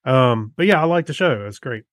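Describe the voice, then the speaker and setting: A male podcast co-host with a medium-pitched voice, recorded dry. He chats casually and reviews in a halting, rambling flow, circling back as he goes. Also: lively intonation, dry recording. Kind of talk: casual chat reviewing TV shows.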